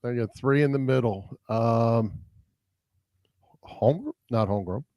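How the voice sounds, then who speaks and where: A man's voice: mid-range voice, takes it slowly, darkish tone, no echo. A man co-hosting a casual sports podcast, chatting informally and sometimes hesitating as he thinks.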